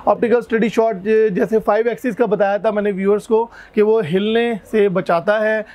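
A man with a high, hoarse voice walks through camera features in a steady, explanatory way, listing specs one after another. He sounds close and clear on a clip-on mic inside a shop. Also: moderate pace. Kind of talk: explaining product specs and prices. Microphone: lapel mic.

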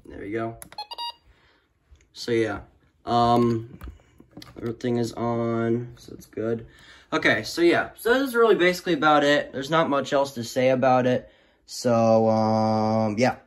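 A man's voice: medium pitch, unhurried, pauses often. A young male student giving a casual, rambling hobbyist review that often trails off, recorded indoors on a handheld phone. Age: teenager or young adult student. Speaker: weather radio hobbyist reviewer. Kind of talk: casual off-the-cuff product review. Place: small room indoors. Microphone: handheld phone camera.

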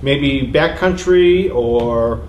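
A man's voice: medium pitch, very slow, a little mellow, muffled recording. A man in his forties, a shop owner, enthusiastically explaining a product's features in a review. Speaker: shop owner presenting gear. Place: ski boot shop, indoors. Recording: echoey shop room, faint hiss.

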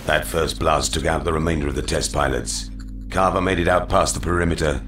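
A gruff American colonel in a game cutscene, with an extremely low voice. He speaks plainly and with command, in a roomy space with music behind him. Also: steady tone, brisk, a bit bright. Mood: stern briefing. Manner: authoritative, plain-spoken. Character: gruff commanding older male military colonel. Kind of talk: video game cutscene acting.

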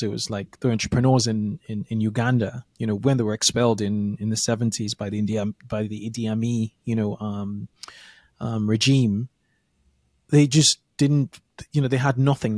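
A man's voice: mid-range voice, darkish tone, no echo. Chatty man hosting a podcast, dry mic. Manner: chatty, repeats words while thinking. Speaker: podcast host. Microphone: studio mic with headphones.

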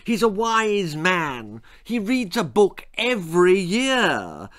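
A man's voice: high voice, very animated, takes it slowly, a bit bright, pitched above the performer's own voice, full character voice. A man voicing a fussy, earnest mother in a storybook. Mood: gentle reproach.

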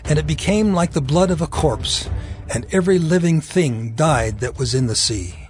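Casting: male scripture narrator, solemn, somewhat high-pitched, faint music bed. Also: normal speed, darkish tone.

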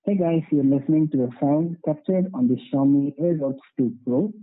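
A narrow, tinny earbud microphone carries a man's voice. He is a tech reviewer doing a sound test, a little high in pitch and rough around the edges. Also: moderate pace. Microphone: wireless earbud microphone.

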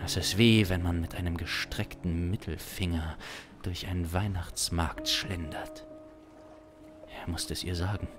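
A man in his thirties narrating an improvised audio drama deliberately, with long pauses, close on a studio microphone. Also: sits low, fairly even intonation, over music. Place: small indoor studio. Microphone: close studio microphone with pop filter. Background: musical backing.

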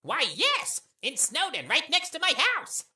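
A woman playing a boisterous, naive, self-assured skeleton in a fan audio drama, with loud theatrical declarations. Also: sits high, wildly swooping pitch, unhurried, full character voice. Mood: insistent, cheerful.

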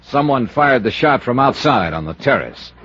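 An actor playing a wry, brisk American insurance investigator in a 1950s radio drama, with clipped hard-boiled delivery. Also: male voice, mid-range voice, very animated, fairly bright tone, a little hiss or hum. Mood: matter-of-fact. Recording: muffled old radio recording with music.

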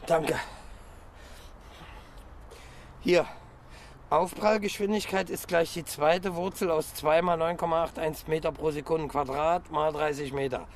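An actor playing a precise, fact-loving police detective in a radio crime drama, with a matter-of-fact, pedantic manner. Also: male voice, fairly high pitch, fairly even intonation, normal speed, a little hiss or hum, lightly acted. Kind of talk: naturalistic German radio crime drama acting.

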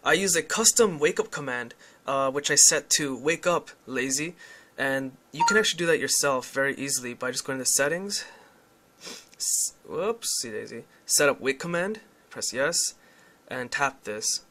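A man's voice: mid-range voice, expressive, moderate pace, pushed and bright. A young man giving a casual, candid demonstration of a phone app, explaining things as he goes. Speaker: tech reviewer.

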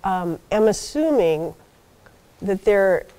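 A soft-spoken older woman gives a guest lecture from a podium in a lecture hall. She explains things patiently, checking in with the audience with frequent okays and ums. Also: mid-range voice, expressive, slow pace. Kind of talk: university seminar talk.

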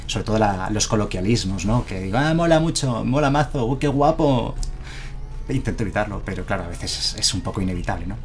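Man, Madrid Spanish accent, fairly low voice, live card-game stream, headset mic, relaxed thinking-aloud chatter. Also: fairly quick. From Madrid, Spain. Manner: casual, thinking aloud, self-deprecating chuckles. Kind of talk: live gameplay commentary. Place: home streaming room, indoors.

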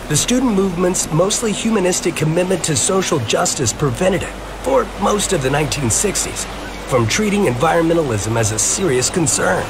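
A man narrating a scripted comic-book history in an expository, emphatic, dramatized way. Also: on the high side. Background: music.

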